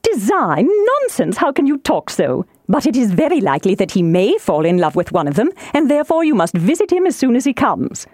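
A woman voicing an excitable, nagging middle-aged wife in an audiobook, with a gushing, impatient, emphatic manner. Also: fairly high pitch, a little fast, hardly any pauses, no echo, studio quality. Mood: exasperated. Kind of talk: audiobook character voice.

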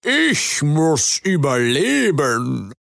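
A man voicing a sly, greedy frog-like creature who talks in a slow, drawn-out, gloating way. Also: a little high, sing-song, gravelly, fairly bright tone, no room sound, clean studio sound, heavily acted.

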